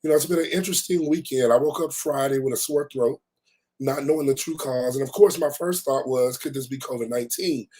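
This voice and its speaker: A hoarse man from Texas, a podcast host, earnestly reading his own blog aloud. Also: mid-range voice, brisk, mellow. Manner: earnest, heartfelt.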